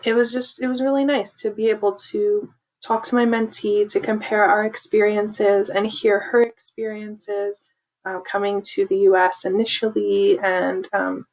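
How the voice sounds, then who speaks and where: A woman mentor speaking in a warm, reflective way about talks with her mentee. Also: mid-range voice, poor audio. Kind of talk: testimonial.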